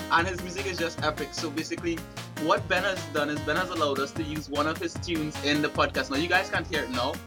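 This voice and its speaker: A man hosting a casual podcast, rambling with many ums and restarts. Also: on the high side. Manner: rambling, lots of fillers and restarts. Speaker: podcast host. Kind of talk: casual chat.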